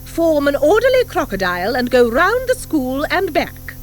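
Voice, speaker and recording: Woman acting a stern, high-pitched commanding schoolmistress. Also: wildly swooping pitch, normal speed, a little hiss or hum. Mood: brisk, strict. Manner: crisp, commanding, precise, piercing. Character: stern, formidable, brisk schoolmistress at a witches' academy. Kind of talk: audiobook character acting. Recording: 1984 audiobook from cassette.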